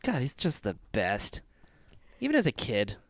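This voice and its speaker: A man's voice: mid-range voice, measured pace, no room sound, studio quality. A man hosting a podcast, chatting casually and enthusiastically as a fan. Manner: enthusiastic, animated. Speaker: podcast host.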